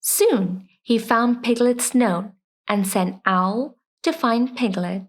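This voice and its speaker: A woman's voice: a little high, takes it slowly, a little hiss or hum. A woman narrating a scripted children's bedtime story as the storyteller. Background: soft music.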